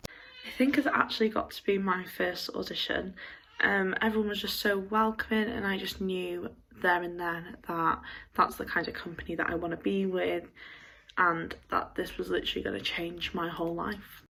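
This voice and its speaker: A woman reminisces informally. Her voice is slightly low and rough with creak. It still has a bright, forceful edge. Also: fairly even intonation, moderate pace, low-quality recording. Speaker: pantomime company member. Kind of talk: casual reminiscing.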